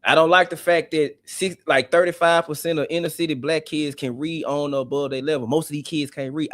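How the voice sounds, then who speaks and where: Animated man on a live stream. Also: on the high side, no echo. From South Memphis, USA. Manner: animated and outspoken. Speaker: guest caller on a live panel. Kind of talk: casual live-stream debate.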